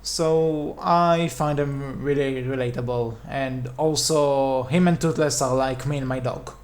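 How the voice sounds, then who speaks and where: Nasal, slow-talking young-sounding man. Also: mid-range voice, fairly even intonation. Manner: sarcastic, rambling with restarts. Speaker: podcast co-host. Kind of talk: casual fan podcast chat.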